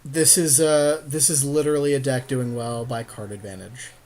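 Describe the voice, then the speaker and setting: A man's voice: medium pitch, steady tone, normal speed, a little mellow. A man giving casual, slangy gameplay commentary as a co-host, agreeable and laid-back with his playing partner. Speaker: podcast co-host playing an online card game.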